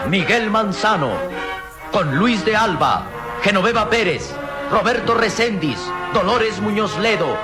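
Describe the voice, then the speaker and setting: A man with a Mexican accent announcing a radio serial emphatically, in old-time radio style. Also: sits high. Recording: loud theme music behind.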